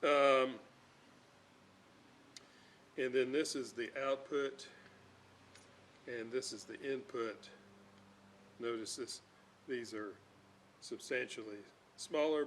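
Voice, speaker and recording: Slow-paced man with a lowish, halting delivery. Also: a bit bright. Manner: halting, thinking aloud. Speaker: host, electronics restorer. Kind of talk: unscripted hands-on repair demonstration. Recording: clear background noise.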